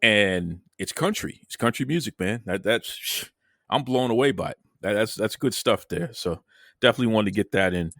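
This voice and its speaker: A man with a lowish voice hosts in a loose, folksy way, often repeating words and calling the listener "man." His voice sits close to the mic in a dry sound with no room echo. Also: expressive, moderate pace, fairly bright tone. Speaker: podcast host. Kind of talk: casual chat.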